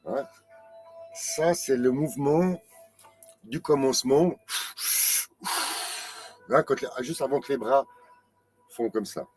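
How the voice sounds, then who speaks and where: A man's voice: mid-range voice, takes it slowly, pauses often, darkish tone, slightly rough, faint music behind. A man in his late fifties with a French accent, teaching tai chi in a chatty, self-mocking live class. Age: 50s. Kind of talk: live-streamed class explanation.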